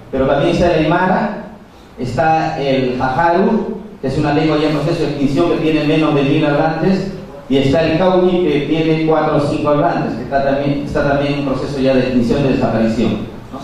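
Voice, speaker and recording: A man's voice: on the high side, darkish tone, a little hiss or hum. Man speaking in an echoey hall. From Peru. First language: Quechua. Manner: measured, many pauses and fillers. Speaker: conference speaker. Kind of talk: formal conference talk. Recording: echoey hall, far from mic.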